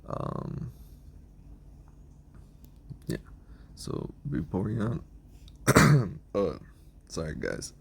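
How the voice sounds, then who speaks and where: Hoarse raspy man, very deep and gravelly, slow rambling talk, voice going out. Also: wildly swooping pitch. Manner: rambling, lots of ums and restarts. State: hoarse, losing his voice. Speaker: video creator explaining his team. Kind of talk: casual team breakdown.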